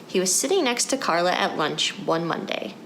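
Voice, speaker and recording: Animated woman reading to children, roomy sound. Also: lowish voice, normal speed. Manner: addresses children directly with questions. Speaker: children's story reader. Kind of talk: guided picture-book read-aloud for children. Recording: echoey room with background noise.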